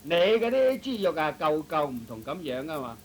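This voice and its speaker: A man playing a slow-witted, literal-minded young shop servant in a Cantonese comic radio play, hesitant and earnest. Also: on the high side, sing-song. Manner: dim, hesitant, pedantically literal. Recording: noisy old radio recording.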